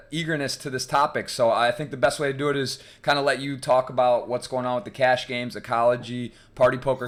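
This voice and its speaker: A man hosting a podcast interview, talking in a casual, easygoing way. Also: mid-range voice. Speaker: podcast host.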